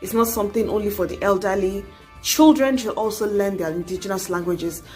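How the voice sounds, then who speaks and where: A woman's voice: medium pitch, fairly quick, a little mellow, faint music behind. A young adult woman, talking earnestly to camera in a casual advice vlog, urging and coaxing with many asides. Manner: earnest, exhorting, frequent "you know". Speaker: vlogger.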